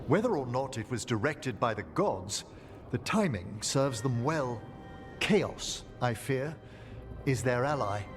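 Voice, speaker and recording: Male professor of necromancy, fantasy game: courteous, theatrical, lively higher voice, measured pace. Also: music in the background. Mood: worried. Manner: formal, theatrical, polite. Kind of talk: dramatic video game acting.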